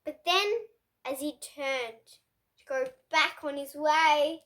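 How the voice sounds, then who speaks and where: High, bright child storyteller, a girl. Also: steady tone. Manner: slow, deliberate, dramatic phrasing. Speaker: host reading children's picture books. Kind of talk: expressive storybook read-aloud.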